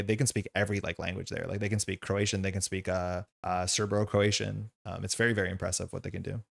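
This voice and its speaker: A man with a gravelly, fairly low voice hosts a relaxed card-game session, whose first language is Slovenian. He chats in a loose, rambling way, full of asides and fillers. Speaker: host. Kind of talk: casual chat while playing a card game.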